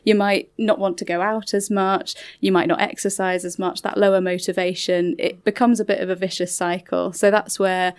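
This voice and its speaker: A woman with a crisp, clear voice of average pitch talks as an interview guest. She gives articulate, informative explanations on behalf of a charity. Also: flat delivery, moderate pace, few pauses. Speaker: mental health charity representative, radio guest. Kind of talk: explanatory radio interview talk.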